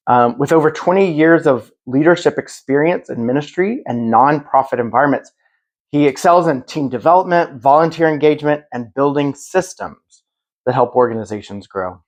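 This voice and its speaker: Male moderator, medium pitch, prepared remarks, earnest, measured, explanatory delivery. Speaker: moderator of a panel event. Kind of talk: prepared introduction to a live public conversation.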